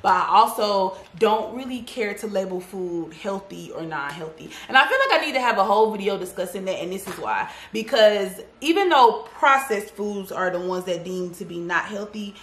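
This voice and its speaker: A woman's voice: mid-range voice, a bit bright. A woman in her thirties chatting casually through a grocery haul in her home kitchen, sassy and talkative, calling her viewers y'all and baby. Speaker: vlogger. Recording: echoey kitchen.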